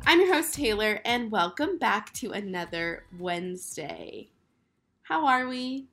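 A woman in her twenties hosting her own podcast, talking casually with lots of fillers while hoarse from a cold. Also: medium pitch, lively intonation, measured pace, a bit bright, faint music behind. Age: 20s. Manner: chatty, filler-heavy, upbeat. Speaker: podcast host. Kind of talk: casual solo podcast chat.

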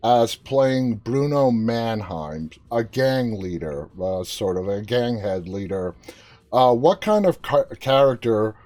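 A man's voice: mid-range voice, animated, slow, a little mellow. A man hosting a live interview, asking questions in a casual, conversational style. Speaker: interview host.

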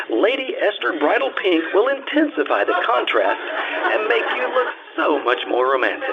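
A male announcer delivers an old-time radio ad in a fairly high voice with big, lively swings in pitch. The sound is very thin and band-limited, with some noise. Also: narrow, tinny audio. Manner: emphatic sales pitch. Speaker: commercial announcer. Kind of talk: old-time radio cosmetics advertisement, scripted.

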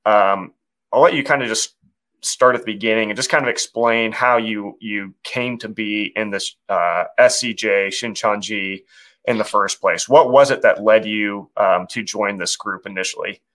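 A male interviewer, gently prompting his guest to start at the beginning. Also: on the low side, normal speed, low-quality recording. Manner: encouraging, relaxed prompting. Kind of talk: interview questions, casual.

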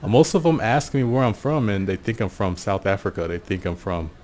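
An American man giving a casual, reflective solo monologue in an unhurried, musing way, often pausing mid-sentence. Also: on the low side, expressive, darkish tone, a little hiss or hum. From Portland, USA. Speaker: podcast host.

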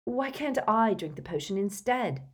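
A woman's voice: a little high, slow pace, a little mellow, breathy edge, pitched above the performer's own voice. A woman voicing an earnest, anxious teenage boy in an audiobook story, strained and urgent. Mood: desperate protest.

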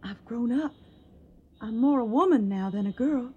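A woman's voice: a little high, moderate pace, warm tone, husky. An actress playing a tender, troubled and stubborn young Tennessee woman in a Civil War radio drama, speaking earnest, wistful, folksy lines with a Southern accent. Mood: tender, anxious.

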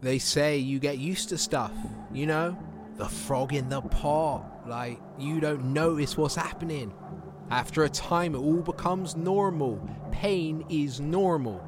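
A man's voice: fairly high pitch, a little slow, over music, close to an everyday voice. An actor playing a bitter, plain-talking young homeless man in a naturalistic audio drama monologue. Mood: bitter, resigned. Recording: clear outdoor traffic and street noise.

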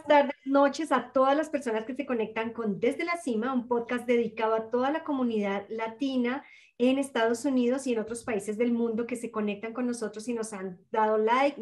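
A woman's voice: fairly high pitch, darkish tone. A woman hosting a podcast, greeting listeners and introducing her guest in an upbeat way. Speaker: podcast host.

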